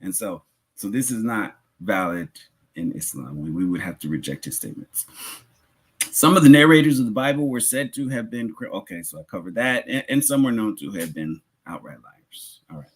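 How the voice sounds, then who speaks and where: Male preacher, Islamic lecture, husky voice, lively swooping intonation, explanatory, emphatic repetition, rhetorical asides. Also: fairly low pitch, normal speed, rough recording. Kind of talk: religious lecture, teaching.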